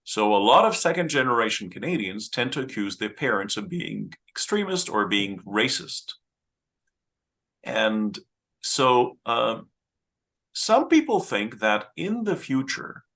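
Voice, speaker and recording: A man's voice: lowish voice, bright, forceful tone. A man hosting an interview, asking long questions hesitantly with many fillers. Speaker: interviewer, host.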